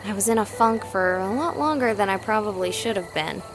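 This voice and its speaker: A woman's voice: medium pitch, a little fast, background noise, natural voice. A woman voicing a candid, wry young woman in a visual novel, conversational and a little guarded. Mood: candid, reflective. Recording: music and night insects behind. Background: music, crickets and frogs.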